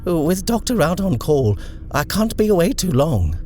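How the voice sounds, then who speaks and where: Brisk, bossy female housekeeper, put on by a male reader, clipped and barking, very lively delivery. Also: fairly high pitch, soft background music, pitched above the performer's own voice. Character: brisk, brusque middle-aged female housekeeper-driver.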